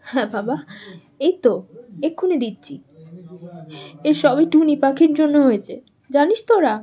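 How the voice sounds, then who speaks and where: A woman's voice: sits high, animated, moderate pace. A woman playing a worried, loving village mother of young children in a dramatized doll story. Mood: amazed, relieved. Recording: muffled audio with faint music.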